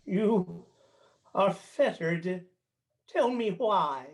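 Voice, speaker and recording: A performer playing a crotchety, skeptical old male miser in a radio play, speaking haltingly and theatrically. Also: medium pitch, animated, noisy background. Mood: pleading. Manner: halting, theatrical, dismissive turning to pleading.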